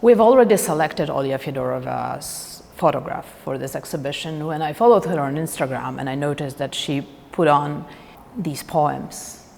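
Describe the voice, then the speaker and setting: Low, creaky woman in a hall. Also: normal speed, darkish tone, slight background noise. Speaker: exhibition curator. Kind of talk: documentary interview. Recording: reverberant hall, faint music behind.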